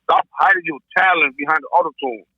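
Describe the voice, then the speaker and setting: A man chatting as a podcast panelist in a blunt, profane, animated way, with a gravelly, husky voice. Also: fairly high pitch, fast, no echo. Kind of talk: casual chat about hip-hop. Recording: dull lo-fi call audio.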